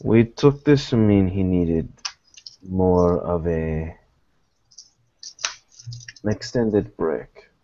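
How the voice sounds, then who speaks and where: A man playing a formal, guarded private investigator in a tabletop roleplaying game, speaking in measured, deliberate phrases. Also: deep voice, dark tone, muffled recording, slight background noise. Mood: grave, deliberate.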